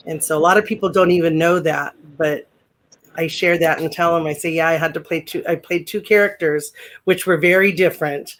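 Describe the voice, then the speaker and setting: A deep-voiced woman in her sixties talks through her memories as an interview guest. She rambles, circles back on herself and fills pauses with ums. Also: fairly even intonation. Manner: rambling, full of fillers and restarts. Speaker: guest, former pro wrestler. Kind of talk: casual interview chat.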